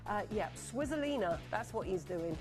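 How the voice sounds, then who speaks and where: A woman presenting live on a TV shopping channel, chatty and excitable, rattling off buyer names and sounding a little sniffly from a cold. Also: medium pitch, moderate pace, music in the background. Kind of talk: live on-air sales pitch. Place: TV studio.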